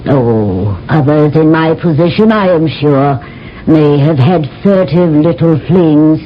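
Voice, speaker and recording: An actress playing a proud alien empress and high priestess in a 1970s radio drama, speaking in a haughty, formal, measured way. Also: female voice, lively intonation. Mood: haughty, aloof. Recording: dull, noisy old radio broadcast recording.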